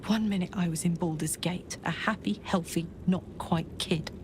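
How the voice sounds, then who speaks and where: An actress playing a brash but warm-hearted young warrior woman with a painful past in a video game, speaking in an informal, emotive, heartfelt way. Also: lowish voice, fairly even intonation, normal speed, background noise, lightly acted. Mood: wistful, hurt. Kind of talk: video game character acting. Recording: game music behind the voice.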